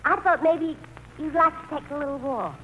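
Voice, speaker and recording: An actress playing a sweet, chirpy, self-effacing sweetheart who is earnest and accommodating, in a 1940s radio play on a noisy old broadcast. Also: female voice, high-pitched, moderate pace, phone-quality sound, lightly acted. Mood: bright, hopeful.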